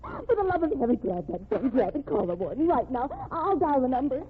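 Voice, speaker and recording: Casting: desperate young sweetheart, high voice, urgent melodramatic pleading, radio crime drama, narrow tinny vintage sound. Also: female voice, very animated, brisk, a little hiss or hum. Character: desperate, impassioned young woman fighting for her condemned sweetheart. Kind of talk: old-time radio crime drama acting. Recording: tinny band-limited old radio recording.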